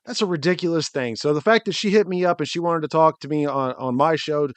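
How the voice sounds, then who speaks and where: A man's voice: a little high, fast talker, clear, resonant tone, dry recording. A man in his late thirties hosting a podcast, introducing a guest in an earnest, rambling way, often repeating words. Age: 30s. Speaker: podcast host. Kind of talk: casual podcast intro and chat.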